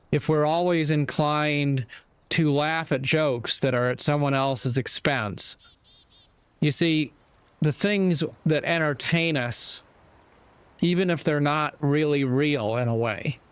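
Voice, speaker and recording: A man giving reflective spoken commentary in an earnest, deliberate manner, pausing mid-sentence. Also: a little high, clear, resonant tone, dry recording. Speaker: speaker giving moral commentary.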